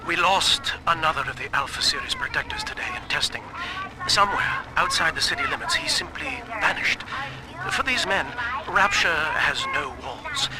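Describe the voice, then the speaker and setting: A clinical, ruthless scientist in a video game. His fairly high voice muses with expressive rises and falls. The diary is swamped in noise. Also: moderate pace. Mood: clinical, musing. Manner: precise, reflective. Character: clinical, musing male scientist, coolly ruthless. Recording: very noisy audio diary.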